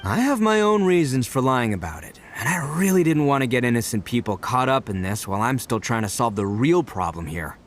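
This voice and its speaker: An actor playing a brash, boastful young gang leader in a game cutscene, loud and excitable. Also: male voice, brisk, hardly any pauses, a little hiss or hum, lightly acted. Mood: earnest. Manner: loud, excitable, big swings in pitch. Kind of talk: video game cutscene dub.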